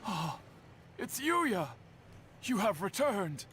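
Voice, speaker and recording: An actor playing a dignified male retainer, speaking in a formal, measured way. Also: high-pitched, sing-song, a bit bright, a little hiss or hum, heavily acted.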